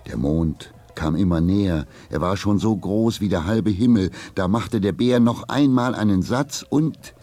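A man narrating a children's radio play as a calm storyteller, reading a scripted adventure tale. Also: sits low, unhurried, few pauses, soft background music. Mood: calm, vivid storytelling.

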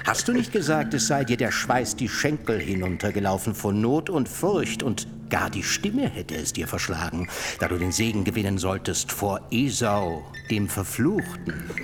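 An actor playing a coarse, domineering old sheep breeder who is the bride's father, blunt and mocking, in a German radio drama. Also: fairly low pitch. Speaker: character in a radio play.

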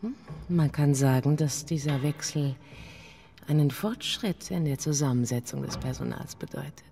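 An actress playing a sensual, grandiose middle-aged lady writer in a radio play, breathy and dramatic, breaking into whispers. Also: low-pitched, moderate pace, warm tone, heavily acted. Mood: haughty, flirtatious. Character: sensual, theatrical lady writer craving self-abasement. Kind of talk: German radio play acting.

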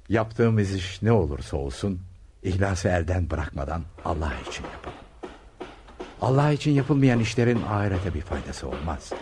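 A man playing a kindly, pious Sufi master in a Turkish radio play, speaking with measured solemnity. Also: sits low. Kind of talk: Turkish radio theater acting. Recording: clear background noise.

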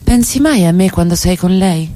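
A woman acting in a radio play as an earnest, wounded middle-class wife, questioning in a measured way. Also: fairly low pitch, lively intonation, dark tone, natural voice. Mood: reproachful.

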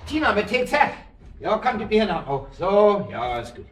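An actor playing a worldly, condescending club man in a radio drama, rambling on and breaking off to hush his dog. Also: high-pitched, sing-song, moderate pace, slight background noise. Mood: smug, dismissive. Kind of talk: naturalistic German radio drama acting.

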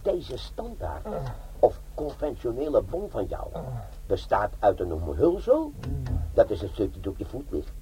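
An actor playing a brash, wry older bomb disposal sergeant in a comic crime radio play, sardonic and fond of lecturing. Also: male voice, mid-range voice, wildly swooping pitch, a little slow, warm tone, slight background noise, rough recording. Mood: dry, scheming.

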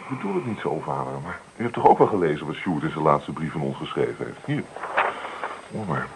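An easygoing farmer's son in a radio drama, with a deep voice and plain, matter-of-fact delivery. The old recording is clearly noisy. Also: male voice, sing-song, brisk, exaggerated voice. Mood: defensive. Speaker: actor. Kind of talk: Dutch radio play acting.

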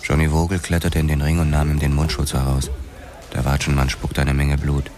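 An actor playing a laconic boxer-policeman who tells his story in a measured, matter-of-fact noir radio drama narration. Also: a bass voice, completely flat delivery, darkish tone. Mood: tense, observant. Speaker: first-person narrator.

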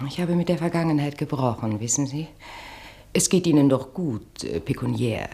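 A nervous, evasive wife in a low voice. She speaks in halting, clipped phrases, coolly pious, in the style of 1960s German radio drama. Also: expressive, mellow. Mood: self-righteous. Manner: halting, clipped, dropping into breathy asides. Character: Anna, nervous, evasive, pious married woman, coolly self-righteous.

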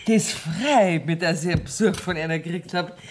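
A woman's voice: fairly low pitch, moderate pace, darkish tone, a little hiss or hum, natural voice. An actress playing a shrewd female moneylender who feigns innocence in a radio play, speaking offhand, coy Bavarian dialect. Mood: gracious, persuasive.

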